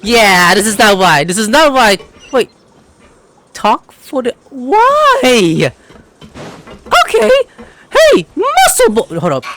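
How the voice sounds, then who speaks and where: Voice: medium pitch, wildly swooping pitch, slow, pauses often, a bit bright, a little hiss or hum. A video creator giving casual let's play commentary, slangy, reactive and playful.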